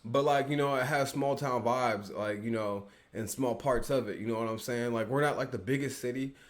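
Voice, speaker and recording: A man's voice: medium pitch, moderate pace. A man from the Midwest reacting to a music video in a casual review, rambling with lots of fillers and slang. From Peoria, Illinois, US.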